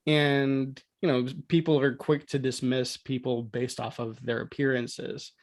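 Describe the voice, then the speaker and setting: A man's voice: mid-range voice, flat delivery, unhurried. A man talking casually and conversationally as a panelist on a podcast. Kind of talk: casual chat.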